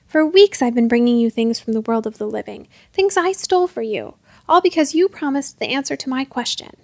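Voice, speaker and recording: A woman's voice: a little high, expressive, brisk, darkish tone, dry recording. A woman voicing a defiant, impassioned girl in a storybook, by turns furious and pleading. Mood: demanding.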